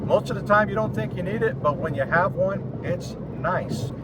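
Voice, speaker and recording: A man talking casually and explanatorily in a vlog recorded inside a car, in short phrases with pauses. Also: high voice. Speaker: vlogger and amateur radio operator. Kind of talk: casual vlog talk to camera. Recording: clear road and vehicle noise. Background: car and outdoor ambience noise.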